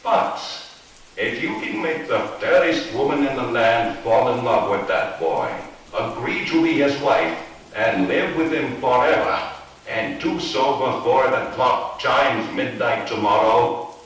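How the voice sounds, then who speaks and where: A man's voice: medium pitch, expressive, slow, a bit bright. A man playing a haughty, menacing Devil in a horror-comedy radio play, with a grandiose, theatrical delivery. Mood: haughty, commanding. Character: the Devil, male lord of darkness, haughty, menacing, sporting. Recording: echoey, distant, hissy.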